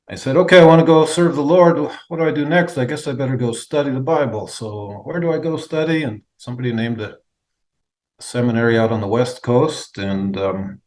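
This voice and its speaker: A man in his fifties from the United States, telling his story in an interview in an earnest, measured way, with many fillers and restarts. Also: lowish voice, darkish tone. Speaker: guest, charity founder. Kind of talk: reflective testimony in an interview.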